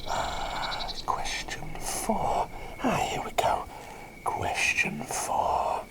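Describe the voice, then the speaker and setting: A man playing an eccentric, excitable plague doctor in a whispered ASMR roleplay, breathy and gently doting, rambling and repeating himself with old-fashioned politeness. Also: extremely slow. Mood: flustered, musing.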